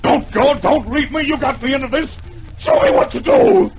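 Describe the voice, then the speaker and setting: A man playing a rattled, practical gentleman in a vintage radio drama style. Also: extremely high pitch, huge pitch swings, moderate pace, voice pushed up, exaggerated voice. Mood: desperate. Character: rattled, practical gentleman goldsmith, reasoning or pleading. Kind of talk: 1950s radio acting. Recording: noisy, dull vintage radio recording.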